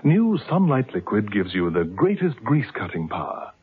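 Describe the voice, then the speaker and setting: A man selling a product in a vintage radio advertisement with an upbeat pitch. His voice is husky and swings lively in pitch. The recording is thin and tinny, like an old radio broadcast. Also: normal speed. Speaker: advertisement announcer.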